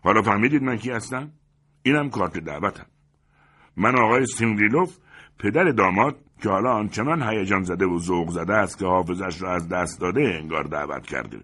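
A man voicing a proud, indignant gentleman in a dramatic story reading, pompous and scornful in his declamatory speech. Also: sits low, expressive, few pauses, exaggerated voice. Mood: imperious.

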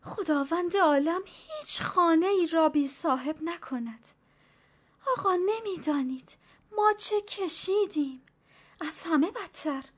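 A high, anxious voice of a stooped old servant woman, put on by a woman reading a story. She pleads in a wily, superstitious way, her voice climbing with fear. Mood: fearful pleading.

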